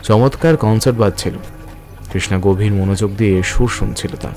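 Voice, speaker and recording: A male narrator of a radio drama. His voice sits fairly low as he tells the story in scripted, literary prose. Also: normal speed, darkish tone, soft background music.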